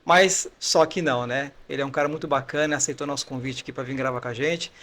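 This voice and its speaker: A man's voice: mid-range voice, fairly even intonation, fast, a bit bright. A man hosting a faith testimony podcast, welcoming his guest and chatting, sometimes stopping to search for a word. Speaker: podcast host. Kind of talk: podcast interview.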